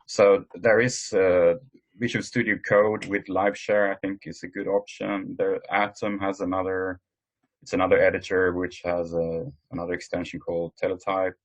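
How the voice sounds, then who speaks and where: A man presenting informally in an online work meeting, speaking hesitantly with frequent pauses. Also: low voice, flat delivery, low-quality recording. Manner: hesitant, halting delivery with many pauses. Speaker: meeting host presenting tools. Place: online conference call.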